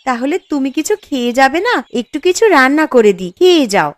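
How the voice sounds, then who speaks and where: A woman voicing a fisherman's wife in a cartoon, fussing and gently scolding him. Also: high voice, big pitch swings, fast, dry recording. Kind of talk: cartoon dialogue.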